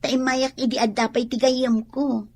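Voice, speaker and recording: A young country woman in an Ilocano radio drama, high-voiced and a little husky. She is stubborn and eager, pushing her point with a whiny, insistent edge in an Ilocano accent. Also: level tone, a little slow, mellow, no room sound. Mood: hopeful.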